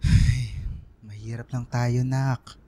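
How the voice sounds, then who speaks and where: A man's voice: mid-range voice, little pitch movement, slow, warm tone, close to an everyday voice. A man playing a stubborn, selfless, ailing father in a radio drama, weary and dismissive but reassuring.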